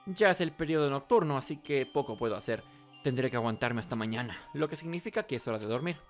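Guy streaming a game, reading lines out and chatting, really animated pitch, game music behind. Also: quick pace. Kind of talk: reading game dialogue aloud with casual commentary.

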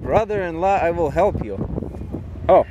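A man's voice: fairly high pitch, expressive, lo-fi sound. A young adult man chatting casually and teasingly outdoors as he films a fishing trip on a handheld camera. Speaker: vlogger filming a fishing trip. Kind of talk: casual off-the-cuff chat. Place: outdoors on a concrete river pier. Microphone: handheld compact camera. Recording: loud wind and rushing water noise. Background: wind, river water.

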